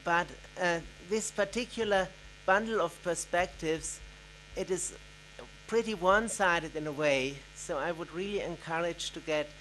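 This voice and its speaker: A man from an NGO, asking a formal question from the floor at a conference in a measured, deliberate way. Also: high voice, a bit bright, a little hiss or hum, rough recording. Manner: measured, deliberate, with occasional uhs. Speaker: NGO representative, audience member. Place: conference hall.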